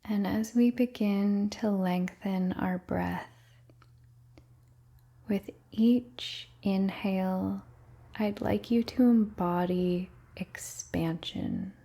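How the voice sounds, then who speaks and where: Very slow, hushed woman guiding meditation. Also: mid-range voice, creaky. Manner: gentle, soothing, unhurried with long pauses. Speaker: meditation guide. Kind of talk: guided meditation, soft breathy near-whisper.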